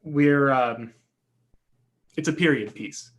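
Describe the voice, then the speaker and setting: A man's voice: medium pitch, slow pace, close to an everyday voice. An actor reading a stage play as an uptight, polite screenwriter brother, hesitant and guarded as he speaks. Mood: guarded, uneasy.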